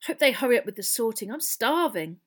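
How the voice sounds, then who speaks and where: A woman's voice: a little high, big pitch swings, fairly quick. A woman voicing a wary, understated teenage schoolboy in a storybook. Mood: dry. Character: wary, low-key teenage schoolboy hero.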